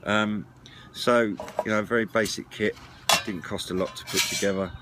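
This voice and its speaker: A middle-aged man with a British accent explains his gear in a low voice. He talks informally and haltingly, feeling his way through each point. The recording is made outdoors at a table, with clear background noise. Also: fairly even intonation. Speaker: outdoor gear and CB radio vlogger. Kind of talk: informal product demonstration to camera. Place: outdoors at a wooden patio table.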